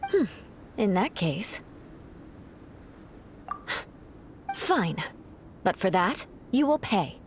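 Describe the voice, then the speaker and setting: A woman's voice: medium pitch, big pitch swings, takes it slowly, slight background noise. A woman voicing a proud, reserved noble knight who speaks formally with dry humor, in a game cutscene.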